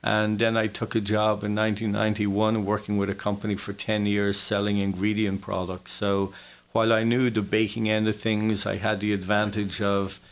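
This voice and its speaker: A man in his forties with a Dublin Irish accent, recounting his own story casually as an interview guest, with hesitant pauses. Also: fairly low pitch. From Dublin, Ireland. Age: 40s. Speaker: interview guest, business owner.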